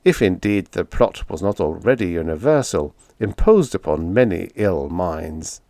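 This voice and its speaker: A man narrates a science fiction story from a script. His voice is fairly low and moves expressively in pitch. The recording is dry, with no room sound. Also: animated, measured pace, dark tone, no room sound. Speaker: audiobook narrator.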